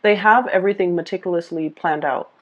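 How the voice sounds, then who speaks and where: Low-voiced woman giving halting tarot reading. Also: highs cut off. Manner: halting, searching for words with repeats. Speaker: tarot reader. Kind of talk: casual, improvised tarot reading addressed to viewers.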